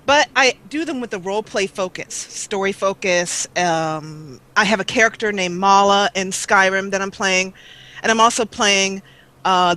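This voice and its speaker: A young woman YouTuber chatting as an interview guest over a webcam call, talkative and quick to laugh at herself. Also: medium pitch, pushed and bright. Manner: chatty, laughs often, self-mocking. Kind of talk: casual interview chat.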